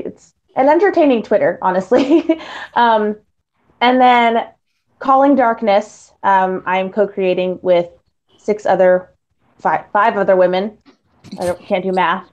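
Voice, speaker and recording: A woman's voice: mid-range voice, a little mellow. A woman voice actress talking casually about her work in a podcast panel chat. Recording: slightly dull lo-fi call audio.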